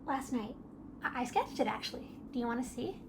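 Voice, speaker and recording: A woman voicing a gentle, timid teenage girl who speaks softly and stammers when unsure. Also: a little high, lively intonation, fairly quick, gravelly. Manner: soft, hesitant, self-doubting, stammers. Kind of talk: audio drama acting. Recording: noisy ambient background.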